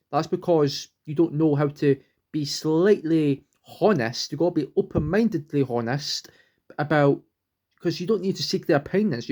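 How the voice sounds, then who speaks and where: A man's voice: on the high side, darkish tone. A young man, vlogging at home, rambling earnestly and restarting sentences as he searches for words. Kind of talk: rambling personal vlog.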